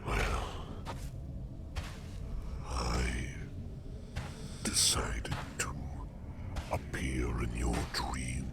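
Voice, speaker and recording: A man playing a solemn, protective sleep demon in an ASMR roleplay, speaking formally and deliberately in a gravelly, breathy half-whisper. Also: very deep voice, background noise, exaggerated voice. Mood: gentle, solemn. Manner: formal, archaic, slow deliberate phrasing.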